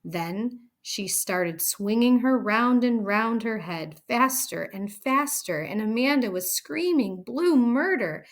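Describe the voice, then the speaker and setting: A woman narrates a children's book aloud. Her pace is very slow and deliberate, her pitch is in the middle range, and her delivery is expressive. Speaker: storybook reader.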